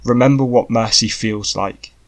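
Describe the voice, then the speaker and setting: A man's voice: mid-range voice, normal speed, close to an everyday voice. A man voicing a young male sorcerer, intense and declaiming, in an audiobook drama. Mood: stern fury. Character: young male sorcerer, furious and chanting spells, grieving.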